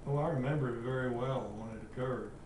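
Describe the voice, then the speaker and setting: An older man from North Georgia gives measured, deliberate memories in an oral history interview. His voice sounds roomy and distant, with a clear hiss in the background. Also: medium pitch. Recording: echoey room, distant mic, steady hiss.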